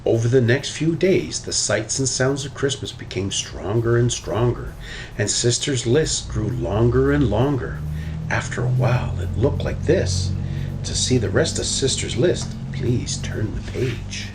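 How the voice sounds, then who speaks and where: A man reading a children's picture book aloud in an expressive, animated way. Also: medium pitch, unhurried. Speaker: storybook reader. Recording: noticeable background noise.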